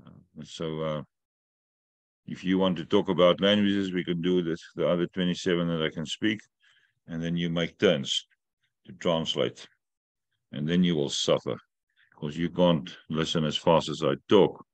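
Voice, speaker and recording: A man's voice: sits low, fairly even intonation, a little slow, long pauses, dry recording. A middle-aged man whose first language is not English, hosting a weekly video call from home, joking and explaining at length. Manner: talkative, joking, instructive. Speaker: host of a weekly update call. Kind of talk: casual video call chat. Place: room at home.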